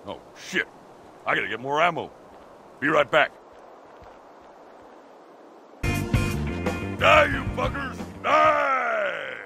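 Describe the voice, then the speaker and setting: An actor voicing a rowdy, folksy old biker in his sixties, gruff and gravelly with an American accent, in a video game. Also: a little high, huge pitch swings, slow, sharp tone, soft background music, exaggerated voice. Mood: manic, gleeful. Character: wild, gruff old biker, crazed but friendly. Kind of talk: video game cutscene acting.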